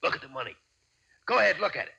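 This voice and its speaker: Sardonic bright-voiced crook, muffled old radio. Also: male voice, a little high, very animated, very fast, creaky, husky, full character voice. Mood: mocking triumph. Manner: terse, dry, tough-talking. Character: injured, sardonic ex-convict bank robber, calmly defiant. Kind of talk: 1940s radio drama acting.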